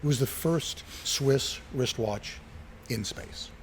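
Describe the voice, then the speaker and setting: A middle-aged man speaks at an ordinary pitch in relaxed, anecdotal conversation. He is an enthusiastic storyteller who voices the quotes in his tales. Faint background noise sits behind him. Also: takes it slowly. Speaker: interview guest, retired watch collector.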